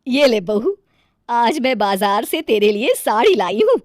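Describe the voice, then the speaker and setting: A woman voicing a middle-aged mother-in-law in an animated moral story, expressive and theatrical. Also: fairly high pitch, measured pace, dry recording, studio quality. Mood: pleased, affectionate. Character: middle-aged city mother-in-law, affectionate but easily exasperated.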